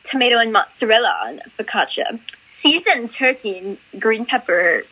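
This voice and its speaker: A woman's voice: a little high, lively intonation, normal speed, pushed and bright, slightly rough, poor audio. A young woman chatting casually with friends, giggling as she reads quiz options aloud. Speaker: quiz reader.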